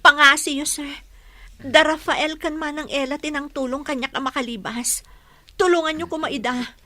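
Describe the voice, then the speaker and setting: A woman's voice: sits high, fairly even intonation, moderate pace, bright, forceful tone. An actress playing an anxious, pleading young captive woman in a radio drama. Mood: pleading, weary. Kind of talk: Ilocano radio drama acting.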